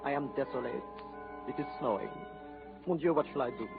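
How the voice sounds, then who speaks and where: A man with a French accent playing an excitable, loyal manservant in a radio drama, full of theatrical laments. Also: mid-range voice, brisk, gravelly, close to an everyday voice. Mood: despairing. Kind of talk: 1940s radio drama acting. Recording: tinny old radio broadcast with music.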